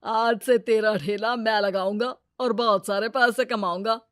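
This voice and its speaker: A woman voicing a greedy, crafty male village moneylender in a Hindi cartoon moral story, brazen and given to drawn-out exclamations. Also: on the high side, steady tone, fairly bright tone, no echo, studio quality. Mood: brazen lying.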